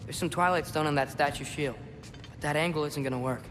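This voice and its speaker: An actor playing an eager, curious young son with quick remarks in a video game. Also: male voice, a little high, a bit bright, slight background noise, lightly acted. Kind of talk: video game cutscene dialogue. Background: game music.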